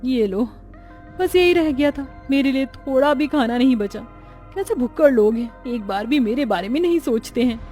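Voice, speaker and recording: Woman voicing young daughter-in-law, high voice, overworked, plaintive, grumbling, cartoon storytelling, music in background. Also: animated, moderate pace, mellow. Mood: aggrieved complaining. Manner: plaintive, complaining. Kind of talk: Hindi moral story cartoon dialogue.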